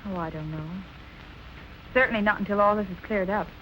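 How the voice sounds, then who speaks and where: A woman's voice: medium pitch, fairly quick, a bit bright, slight background noise, lightly acted, poor audio. An actress playing an anxious, guarded young woman in 1940s film noir style, polite and earnest. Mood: guarded, nervous. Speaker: actor.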